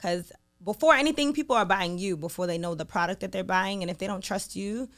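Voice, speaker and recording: A young woman with a New York accent chatting casually as an interview guest, talking in an animated way with lots of "like" fillers. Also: medium pitch, a little fast, faint music behind. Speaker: guest, radio sales executive.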